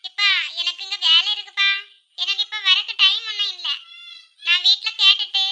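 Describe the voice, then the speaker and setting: A woman's voice: very high-pitched, flat delivery, a little fast, background noise. A woman playing a spoiled, dismissive young married daughter in a family melodrama, brusque and evasive. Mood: impatient, dismissive.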